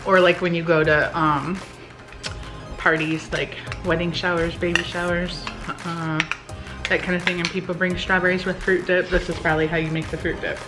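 A woman's voice: lowish voice, little pitch movement, normal speed, music in the background. A woman in her forties chatting informally and giving recipe instructions in a home kitchen, with a teasing, casual manner. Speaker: home cooking vlog host.

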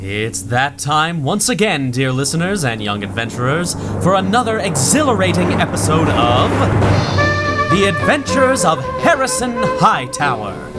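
Cheery 1930s radio announcer, lively swooping delivery, music underneath. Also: male voice, a little high, unhurried. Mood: enthusiastic. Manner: showy, enthusiastic announcing. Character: cheery male old-time radio announcer. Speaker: show announcer.

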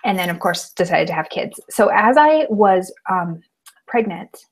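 A woman's voice: medium pitch, lively intonation, measured pace, breathy edge. A woman in her thirties from Colorado, co-hosting a podcast in casual chat and laughing often, recorded through an earbud headset on a video call from a small room at home. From Colorado, United States. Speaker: podcast co-host.

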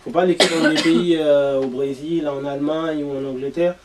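A young man with a fairly high-pitched voice talks as a panelist in a casual discussion. He sounds a little way off from the microphone in a room. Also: steady tone, moderate pace, a crowd in the background. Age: young adult. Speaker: panelist presenting a football segment. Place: small meeting room around a table. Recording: distant room mic.

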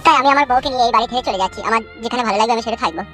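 A man's voice: extremely high pitch, rapid-fire, pushed and bright, faint music behind. A man voicing a put-upon, earnest young village husband in a comic cartoon. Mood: resigned, hurt.

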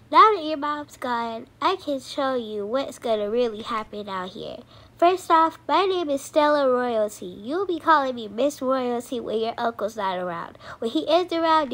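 A woman's voice: high-pitched, lively intonation, normal speed, a bit bright. A woman voicing a snooty, bossy young fiancée in an amateur cartoon doll story, with haughty phrasing. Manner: haughty, valley-girl phrasing. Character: snooty, bossy young fiancée, mean behind false sweetness. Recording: clearly noisy home recording.

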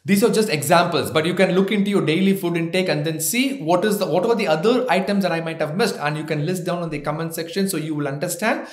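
A man's voice: fairly high pitch, quick pace, hardly any pauses. A man in his thirties from India with an Indian accent, giving health advice to camera as a doctor, listing points and slipping in light jokes. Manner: gently joking, lists points one by one. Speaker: doctor, health channel host. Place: office with framed certificates, indoors. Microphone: seated, medium shot to camera. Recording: echoey office room.

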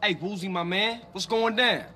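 Young American street gangster for a game cutscene: high, bright voice, cocky wisecracker, brash slang, faint background noise. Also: male voice, moderate pace. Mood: cocky, casual. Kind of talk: video game cutscene acting.

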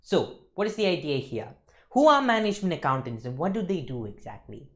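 A young man with an Indian accent delivers an instructional lecture as an exam tutor. His pitch swings widely from phrase to phrase as he stresses points and keeps checking that listeners follow. He is recorded in a studio. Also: very animated, brisk, fairly bright tone. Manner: emphatic, with frequent checking tags like "okay, folks".

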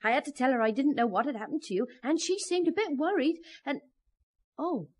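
An actress playing an anxious, deferential village housekeeper in a radio drama, fussing and apologetic. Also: female voice, high-pitched, lively intonation, brisk. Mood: worried, apologetic. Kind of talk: 1970s radio drama acting.

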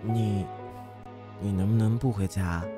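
An actor playing a playful yet wounded, proud young man in an expressive audio drama style. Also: on the low side, unhurried, over loud music, lightly acted. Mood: hesitant. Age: young adult. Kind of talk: Chinese audio drama acting.

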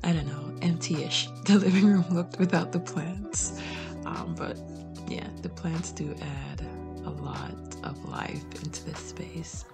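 A woman in her thirties talks through her cleaning in a relaxed, candid voice-over. Her voice sits low with a gravelly fry at the ends of phrases. Faint music plays underneath. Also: slow. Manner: chatty and candid, soft asides. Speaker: cleaning vlogger. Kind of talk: casual voice-over narration.

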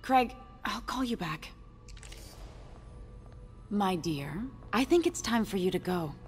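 An icy middle-aged woman gallery boss in a video game. She is haughty and clipped at medium pitch, over background music. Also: animated, slow. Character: curt, icy woman art gallery director.

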